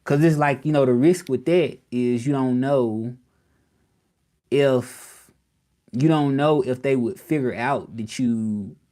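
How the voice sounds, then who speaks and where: A man with a Southern drawl from Memphis talks casually to a live stream audience. He rambles, repeats himself and keeps turning questions back to the viewers at a mid-range pitch. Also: takes it slowly, warm tone. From Memphis, Tennessee, US South. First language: English.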